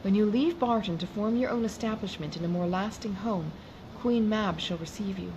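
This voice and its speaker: A woman reading an audiobook, voicing an earnest young gentleman who speaks low and slowly, half in a whisper. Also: close to an everyday voice. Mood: tender. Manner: hushed, slow, half-whispered. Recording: noisy background hiss.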